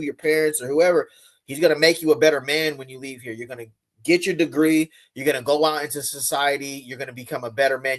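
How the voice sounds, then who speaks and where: A man talking casually on a live stream, animated and slangy, his loudness swinging up and down. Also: a little high.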